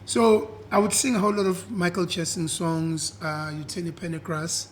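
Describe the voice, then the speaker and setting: A young South African man with a husky voice, chatting easily as an interview guest and mixing in isiZulu. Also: sits high, normal speed, crowd noise. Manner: relaxed, switches between English and isiZulu, repeats "yeah". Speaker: guest, singer. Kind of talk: casual interview chat.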